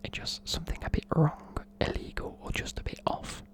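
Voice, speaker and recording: A person with a British accent whispering informal, chatty, matey explanations in an ASMR style. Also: a little fast. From United Kingdom. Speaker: presenter explaining slang.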